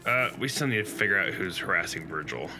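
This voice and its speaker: A young man co-hosting a game playthrough, calmly planning moves into a headset at home. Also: low-pitched, fast. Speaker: let's play co-host. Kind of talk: casual gameplay chat. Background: game music.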